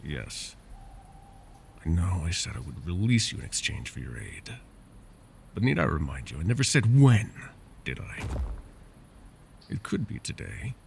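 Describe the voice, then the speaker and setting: A man voicing a possessive, scheming male dragon in an ASMR roleplay, teasing and drifting into soft whispers. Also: very animated, unhurried, long pauses, slight background noise, exaggerated voice. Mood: scolding. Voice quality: gravelly, low.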